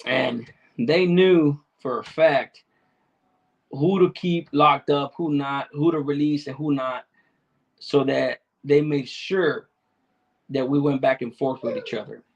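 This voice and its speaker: Man, middle-aged, gravelly, raspy edge, streetwise slang, casual livestream chat. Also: mid-range voice, steady tone, takes it slowly, lo-fi sound. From Salvadoran, raised in Chicano neighborhoods. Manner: streetwise slang, heavy swearing, repeats himself. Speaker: livestream host answering chat.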